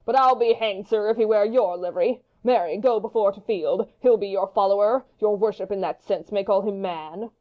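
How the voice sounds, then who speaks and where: A woman's voice: medium pitch, normal speed, few pauses, lo-fi sound, close to an everyday voice. A woman playing a hot-headed, witty young swordsman in a Shakespeare audiobook, taunting and theatrical in long-winded speeches. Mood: mocking, defiant. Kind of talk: Shakespeare audiobook dramatic reading.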